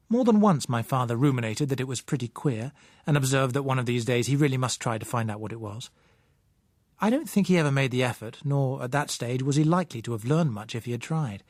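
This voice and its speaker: A British man reading a first-person novel as audiobook narrator, measured, articulate and dryly wry. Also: mid-range voice, darkish tone, no echo, clean studio sound.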